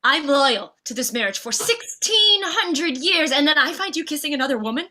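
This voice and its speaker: A woman plays a betrayed, fed-up wife in a comedy read. She is confrontational and biting, with a high, forceful voice. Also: animated, moderate pace. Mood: outraged. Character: Mrs. Claus, scornful, furious, fed-up betrayed wife.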